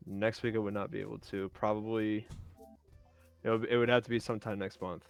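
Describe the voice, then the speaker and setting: A man's voice: fairly low pitch, flat delivery, brisk, creaky, clean tone, faint music behind. A man chatting casually as a guest on a livestreamed round table. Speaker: guest panelist. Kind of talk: casual group chat on a livestream.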